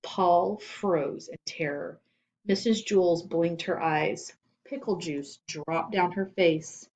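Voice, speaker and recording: A woman's voice: fairly low pitch, slow, darkish tone. Woman reading a children's story aloud. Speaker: storybook reader. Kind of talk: expressive scripted narration of children's fiction.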